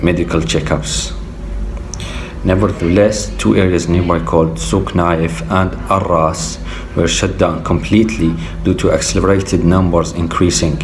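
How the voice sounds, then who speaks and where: Deep-voiced young man reading calmly. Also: noisy background. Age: young adult. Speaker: medical student. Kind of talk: scripted personal account. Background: music.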